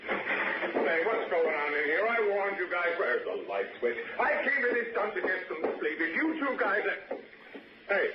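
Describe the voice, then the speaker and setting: High-voiced irate neighbour, noisy old radio. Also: male voice, big pitch swings, unhurried. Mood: irate, alarmed. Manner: blustering, agitated. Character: irate, alarmed male hotel neighbour woken from sleep. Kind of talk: 1960s radio drama acting. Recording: dull, noisy old-time radio recording.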